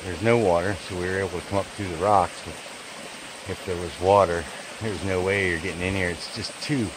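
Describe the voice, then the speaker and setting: Deep-voiced man narrating an outdoor hike. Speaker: hiking vlogger. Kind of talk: casual hiking narration. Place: outdoors on a wooded gorge trail by a stream. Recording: outdoor stream noise behind.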